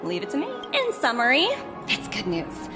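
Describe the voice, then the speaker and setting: An actress voicing an upbeat young female corporate envoy who announces a resolution in a bright, businesslike way. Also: on the high side, wildly swooping pitch, moderate pace. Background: game music.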